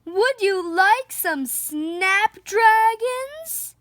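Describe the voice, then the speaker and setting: Brash storybook mother, played by a woman, hollering high with big swoops. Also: animated, drawn-out words, a bit bright, no room sound, clean studio sound, exaggerated voice. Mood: loud, offering. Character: loud, brash, yelling mother of a noisy family. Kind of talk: children's picture book read-aloud.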